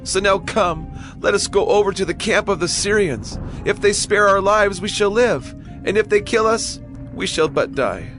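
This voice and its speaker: A man acts out a starving leper in an audio Bible drama. The voice is fairly high, urgent and emphatic, with clear music behind it. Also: moderate pace, close to an everyday voice. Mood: desperate, urgent. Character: desperate starving leper man reasoning with companions.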